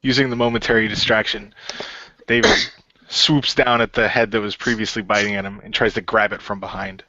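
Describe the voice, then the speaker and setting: A man's voice: lowish voice, fairly even intonation, a little fast, bright, forceful tone, no echo. A man playing in an improvised radio play, narrating what his fantasy character does. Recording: dull lo-fi voice recording.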